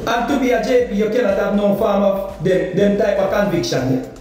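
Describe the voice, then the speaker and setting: A man's voice: high voice, a little fast, a little mellow. A middle-aged Jamaican man giving insistent political commentary into a phone held at arm's length indoors, pressing his points with rhetorical questions and repetition. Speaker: political vlogger. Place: indoor kitchen or room. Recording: echoey room, far from the mic, faint music.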